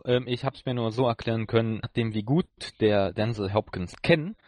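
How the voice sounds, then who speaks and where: A man co-hosting a podcast in casual conversation, recounting things in a hesitant, halting way. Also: mid-range voice, a little mellow, dry recording. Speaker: podcast co-host. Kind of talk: casual chat reviewing an audio drama.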